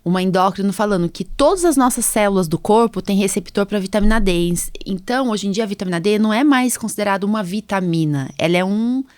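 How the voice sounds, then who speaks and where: A woman's voice: medium pitch, fast talker, few pauses, darkish tone, clean tone, dry recording. Female podcast host, Brazilian accent, mid-range voice, conversational medical explainer who checks in often, recorded dry. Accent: Brazilian Portuguese. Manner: chatty, explanatory, frequent tag questions. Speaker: podcast host, allergist doctor.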